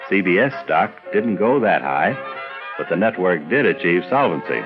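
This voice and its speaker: A deep-voiced older man delivers scripted documentary narration with personal reminiscence. The recording is slightly dull, with music clearly behind him. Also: very animated, moderate pace.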